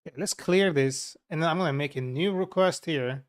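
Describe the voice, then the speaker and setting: A man from Turkey with a Turkish accent, a software developer chatting frankly and with profanity to his viewers while coding live. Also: fairly high pitch, a little fast. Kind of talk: casual live coding stream chat.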